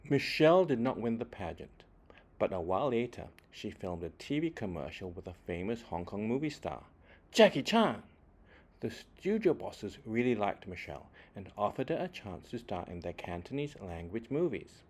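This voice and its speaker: A man reading a children's story aloud expressively, with deliberate phrasing and dramatic emphasis. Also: on the low side, sing-song, breathy edge. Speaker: children's book reader.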